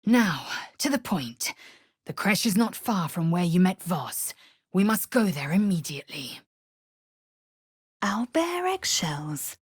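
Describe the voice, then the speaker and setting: A woman voicing a proud, fierce, imperious githyanki warrior in a video game, with a husky, raspy voice and a clipped, haughty, formal manner. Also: fairly low pitch, sing-song, slow pace, no room sound, clean studio sound, exaggerated voice. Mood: commanding, stern. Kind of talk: game voice acting, short scripted lines.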